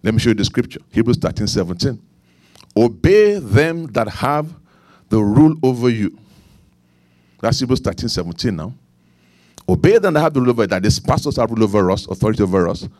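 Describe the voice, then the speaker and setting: A middle-aged male pastor with a Nigerian accent delivers a sermon in a mid-pitched voice. He repeats his points for weight, climbs to emphatic peaks and falls back to low, confiding asides. The recording is dry and close, with no room echo. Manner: preaching with repetition and asides, swinging from emphatic to quiet.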